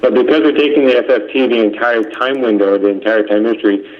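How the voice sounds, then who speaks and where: A man's voice: mid-range voice, fairly even intonation, quick pace. A man methodically explaining technical material on a conference call. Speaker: engineering presenter. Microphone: conference call line. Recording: dull lo-fi conference phone call.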